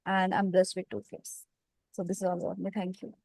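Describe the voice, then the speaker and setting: A woman's voice: lowish voice, flat delivery, fairly quick, creaky, darkish tone, dry recording. Woman in her thirties, thoughtful host, dry close sound, leans on "you know". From India. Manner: reflective, many "you know" fillers. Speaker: host, English teacher. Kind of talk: casual conversation practice.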